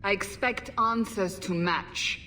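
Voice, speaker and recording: A woman's voice: mid-range voice, takes it slowly, bright, forceful tone. A stern bossy fantasy game woman, clipped, sounds a bit far off, background noise. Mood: stern, puzzled. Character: stern, commanding female fantasy investigator. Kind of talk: video game cutscene acting. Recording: distant voice with background ambience.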